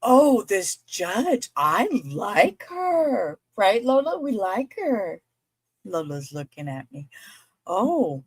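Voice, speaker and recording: A woman's voice: mid-range voice, big pitch swings, takes it slowly, husky, no echo. A woman host giving casual, chatty reaction commentary, breaking in with her own remarks. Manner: chatty, interjecting. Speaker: host commenting on court footage.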